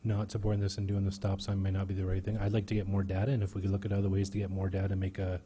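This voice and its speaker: Brisk council chair, fast and dark. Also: male voice, deep voice, completely flat delivery, slightly rough.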